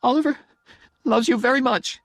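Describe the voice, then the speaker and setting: Man voicing a pleading, fiery young wife, raised pitch. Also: a little fast, darkish tone, no room sound. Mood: tearful pleading. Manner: emphatic, emotional pleading.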